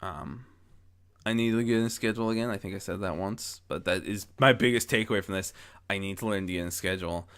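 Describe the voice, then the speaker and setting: A man with a fairly low-pitched voice chats reflectively to his followers. He rambles, doubles back and corrects himself mid-sentence. He speaks close into a boom-mounted microphone with a pop guard. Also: fast talker, a bit bright, clear, resonant tone. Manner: rambling, self-correcting, frequent false starts. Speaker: amateur audio story narrator and channel host. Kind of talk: casual reflective monologue to followers. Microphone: close mic on boom arm with pop guard.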